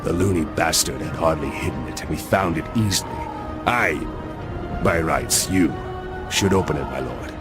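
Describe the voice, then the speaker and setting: Deep-voiced, servile henchman. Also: normal speed. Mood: nervous deference. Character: deferential, wary henchman of a lord. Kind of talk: dramatic video game cutscene acting. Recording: dramatic music behind the voice.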